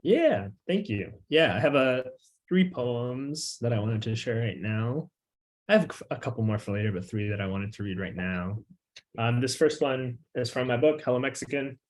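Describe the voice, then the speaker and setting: A young man, a featured poet, who talks in a relaxed, loose way with plenty of filler words and reads his poems with an emphatic, rising refrain. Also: medium pitch, normal speed. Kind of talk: casual chat and poetry reading.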